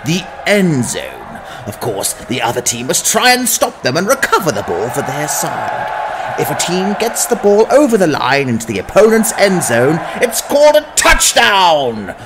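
A man's voice: fairly high pitch, huge pitch swings, measured pace. Guy doing jolly sports commentator, folksy showman, super swoopy voice, noisy background, audio drama parody. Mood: jovial. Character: jovial male sports commentator explaining brutal fantasy game.

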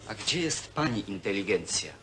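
A bitter young man from a workers' hostel, with a lowish voice and a sarcastic, needling way of talking. His speech is colloquial and provoking. The old film soundtrack is clearly noisy. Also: very animated, normal speed, pushed and bright. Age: young adult. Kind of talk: naturalistic film dialogue.

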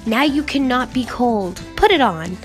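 A woman voicing a patient, caring mother bear who coaxes in a gentle, motherly way, reading a storybook. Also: fairly high pitch, lively intonation, unhurried, soft background music, pitched above the performer's own voice.

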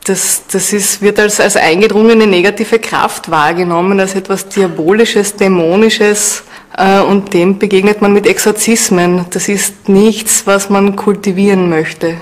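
A woman's voice: mid-range voice, steady tone, measured pace. A woman speaking as an interviewed expert, explaining her field in spontaneous talk. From Austria. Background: background music.

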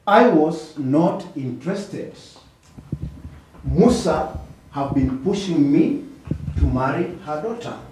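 An actor playing a defensive middle-aged accused man testifying in a TV courtroom drama, halting and deliberate, with a Kenyan accent. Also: a little high, lively intonation, darkish tone. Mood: defensive, earnest. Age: 50s. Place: indoor courtroom set. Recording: echoey room, distant mic, faint noise.

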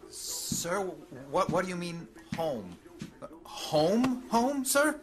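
Hesitant, high-voiced soldier. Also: male voice, sing-song, drawn-out words, heavily acted. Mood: baffled. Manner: hesitant, questioning. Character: timid, baffled male soldier questioning his superior. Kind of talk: military game cutscene acting. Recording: music behind the voice.

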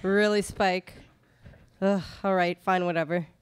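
A woman's voice: on the low side, fairly even intonation, a little slow, no room sound, clean studio sound, natural voice. A young woman playing a sardonic, exasperated teenage vampire hunter in a student radio play. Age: young adult. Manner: casual, sardonic. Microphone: microphone on stand. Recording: clear background noise, clicks.

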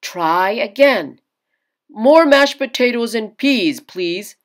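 A patient older great-uncle from a storybook, read by a woman. He teaches in a measured, worldly and encouraging way, taking his time and stressing key words with wide swings of pitch. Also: expressive. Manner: measured, instructive.

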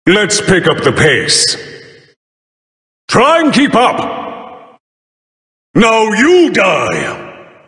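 An actor playing a bookish bird-headed god and solemn judge of the dead in a video game, with a grand, formal, theatrical delivery and dry wit. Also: male voice, high voice, huge pitch swings, very slow, pauses often, fairly bright tone, exaggerated voice. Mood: alarmed, straining. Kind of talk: video game character voice lines. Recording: roomy studio voice with long reverb.

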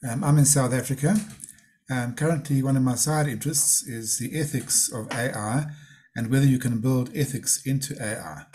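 A man's voice: medium pitch, steady tone, moderate pace, a little mellow. A man giving a short, plain introduction on a video call. Faint noise and clicks can be heard behind him. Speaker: meeting participant.

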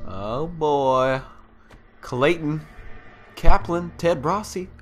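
Male gamer for walkthrough narration: unhurried, playful, slangy, mid-pitched, game music behind him. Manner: playful, slangy, swearing, exclaiming. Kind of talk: casual gameplay commentary. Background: game music and vehicle sounds.

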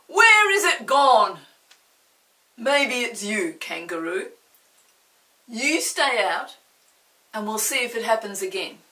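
Woman doing a bellowing storybook man, fussy, slow and booming, roomy sound, distant mic. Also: big pitch swings, bright, forceful tone, full character voice. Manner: bellowing, drawn out. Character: loud fussy grown man in a bathtub. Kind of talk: storybook read-aloud.

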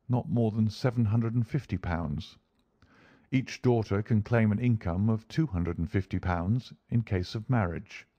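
An urbane gentleman detective from a classic storybook, played by a male narrator. He is imperturbable and courteous, with dry amusement, in a close, dry recording. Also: fairly low pitch, dry recording, highs cut off.

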